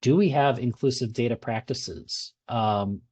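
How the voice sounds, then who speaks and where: A man with a Boston accent presenting at a user group meetup. His voice is mellow and dark. He talks conversationally, with frequent fillers and restarts. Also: medium pitch, measured pace, breathy edge.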